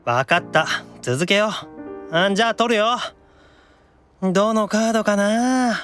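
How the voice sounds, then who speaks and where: A man acting as a sulky, teasing young male demon who grumbles and retorts quickly, in an anime-style audio drama. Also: sits high, expressive, a bit bright, clean tone, dry recording, soft background music. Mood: playfully teasing.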